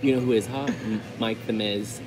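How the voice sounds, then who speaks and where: A man's voice: lowish voice, fairly even intonation, moderate pace, over music. A young man bantering sassily with his co-host during a live eating stream in a restaurant. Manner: sassy, teasing banter. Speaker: vlogger. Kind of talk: casual live-stream chat while eating. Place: indoor restaurant with high ceiling. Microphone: phone camera close up. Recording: noisy restaurant background.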